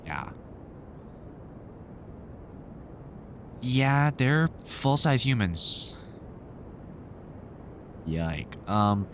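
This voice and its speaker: Over a slight rumbling background comes a fairly low male voice, a man acting. He is a weary, kindly vampire hunter in an audio drama, mentoring a newcomer. He speaks informally and haltingly, with dry humour. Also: fairly bright tone, a little hiss or hum. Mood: awkward, reassuring. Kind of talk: audio roleplay, conversational monologue to the listener.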